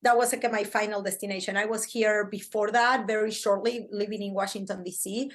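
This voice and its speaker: A middle-aged woman from Argentina with an Argentine Spanish accent, chatting as a podcast guest in an excitable, talkative way with many fillers. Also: medium pitch, brisk. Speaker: podcast guest, branding coach. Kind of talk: casual interview chat.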